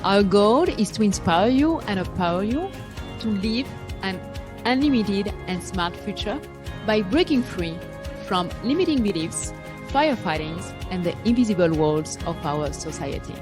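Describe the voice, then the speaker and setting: A woman's voice: mid-range voice, lively intonation, a little slow, over music. A woman hosting a podcast, reading an upbeat scripted introduction and asking interview questions. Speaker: podcast host.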